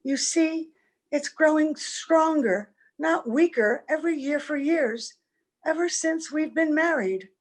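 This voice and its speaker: A woman's voice: high voice, slow. A woman playing an anxious, affectionate young-looking wife in an old-time radio play. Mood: anxious, pleading. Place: home, over a video call.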